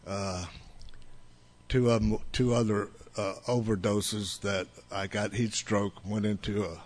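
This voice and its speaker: A man's voice: fairly low pitch, flat delivery, unhurried, a little mellow. A man in his seventies, whose first language was Italian, telling the story of his recovery to an audience in a blunt, rambling way, with frequent restarts. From United States. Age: 70s. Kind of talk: personal testimony to an audience.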